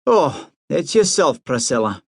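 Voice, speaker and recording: A man reading aloud and voicing a sulky, self-pitying Highland policeman with a cold and a Scottish Highland accent. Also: very animated, unhurried, vocal fry, a little mellow, husky, dry recording, clean studio sound, heavily acted. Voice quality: sulky, sibilant.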